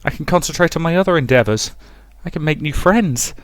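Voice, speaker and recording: A man's voice: fairly high pitch, lively intonation, brisk, no room sound, voice pushed up, lightly acted. A man voicing a frightened, guilt-stricken young woman in a visual novel, pleading and stammering in anguish. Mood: anxious, hurt. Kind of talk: dramatic reading of visual novel dialogue.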